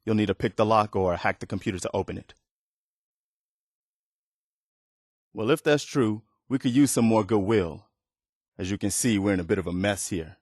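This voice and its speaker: A man's voice: fairly low pitch, brisk, a little mellow, no room sound, clean studio sound, close to an everyday voice. A man playing an earnest, weary militia leader in a video game, speaking in a sincere, plain-spoken, conversational way. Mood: matter-of-fact, friendly. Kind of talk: video game dialogue acting.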